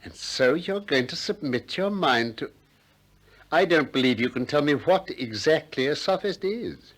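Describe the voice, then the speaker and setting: An actor playing a probing, gently ironic Greek philosopher who also narrates, in a 1960s radio play. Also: male voice, fairly high pitch, lively intonation. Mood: probing, cautioning. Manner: questioning, gently ironic, deliberate.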